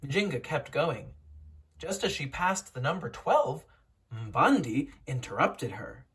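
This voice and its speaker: A woman narrates a children's storybook in a noticeably husky, unpolished voice. Her pitch moves around in a lively way. She tells it like a teacher, with dramatic, deliberate pauses. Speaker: teacher reading a picture book aloud.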